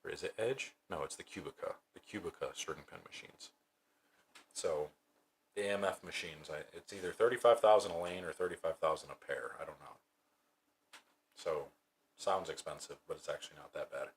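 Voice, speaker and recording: A man hosting a casual livestream, giving bowling tips and thinking aloud in a relaxed way. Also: very deep voice, a little fast, slight background noise. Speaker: streamer and bowling coach. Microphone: new desk microphone.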